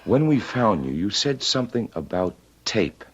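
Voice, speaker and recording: A man's voice: fairly low pitch, very animated, moderate pace, husky. An actor playing an earnest, solicitous man who works at a theater, in an old-time radio drama. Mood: gentle, concerned. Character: earnest male theater aide, solicitous and eager. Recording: muffled old radio recording.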